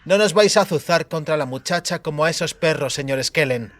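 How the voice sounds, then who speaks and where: A man voicing a proud, defiant female soldier with a hoarse voice in a fantasy audiobook. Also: fairly high pitch, brisk, fairly bright tone, dry recording, close to an everyday voice.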